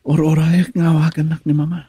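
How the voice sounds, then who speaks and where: An actor playing a troubled, lovelorn young husband in a radio drama, speaking in a raspy, halting, emotional way. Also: male voice, fairly high pitch, little pitch movement, dark tone, no room sound, clean studio sound. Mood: troubled, hesitant.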